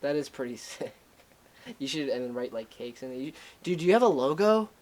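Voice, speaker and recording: A man's voice: medium pitch, expressive, a little fast, a little mellow. A young man co-hosting a casual podcast chat, loose and slangy, swearing freely. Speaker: podcast co-host.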